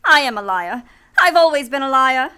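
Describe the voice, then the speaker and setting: An actress playing a seductive, deceitful femme fatale in a radio play, with theatrical delivery. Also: female voice, high voice, expressive, moderate pace, pushed and bright, clear, resonant tone. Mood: weary confession. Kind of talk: old-time radio play acting.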